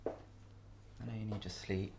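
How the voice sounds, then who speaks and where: A man's voice: lowish voice, fairly even intonation, slow, gravelly, darkish tone, slight background noise. An actor playing an earnest, defensive man in a bickering romantic couple, speaking in an understated, naturalistic stage drama style. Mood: guarded, gentle.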